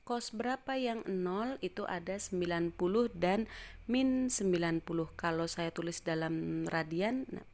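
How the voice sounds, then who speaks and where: A woman's voice: fairly low pitch, a little mellow. A woman math teacher explaining step by step in an informal tutorial style.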